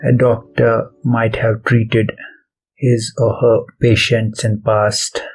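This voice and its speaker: Slow, even-toned man guiding meditation. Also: on the low side, husky, poor audio. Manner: deliberate, pausing between short phrases. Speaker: meditation guide, channel host. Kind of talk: guided meditation, soft spoken instruction. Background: background music.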